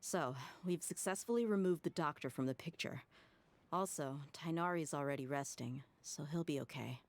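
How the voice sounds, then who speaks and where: A woman playing a plucky, expressive, easily exasperated young ally in a video game, earnest and emphatic. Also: low-pitched, normal speed, a little hiss or hum. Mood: earnest, hesitant. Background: game music.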